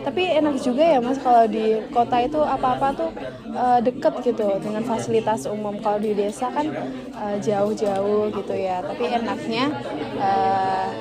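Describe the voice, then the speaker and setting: A woman's voice: a little high, fairly even intonation, moderate pace. A young woman speaking as a student counselor, politely and reassuringly asking questions in a counseling session. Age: young adult. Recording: busy public hall, loud crowd chatter.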